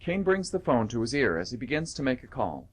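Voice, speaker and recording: A male narrator reads scripted stage directions in a radio play. His pitch moves around in a lively way, sitting in an ordinary middle register. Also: brisk, slightly rough.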